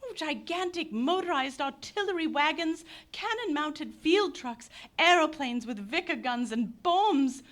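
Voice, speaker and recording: A woman's voice: high-pitched, expressive, a little slow, hardly any pauses, fairly bright tone. An actress in a live radio play playing an impassioned young pacifist Englishwoman who speaks in declamatory bursts. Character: passionate pacifist young Englishwoman, fiery idealist.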